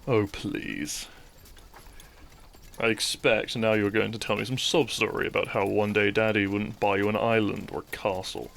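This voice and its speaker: A man acts out a brooding young thief and kidnapper in an audio drama. His voice is low and gravelly, and he addresses his captive with mocking courtesy and deliberate pauses, bitter underneath. There is a faint noise in the recording. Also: little pitch movement, fairly bright tone. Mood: dismissive, resentful. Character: bitter young male thief, kidnapper, once a noble's childhood friend. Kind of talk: scripted audio roleplay, addressing the listener.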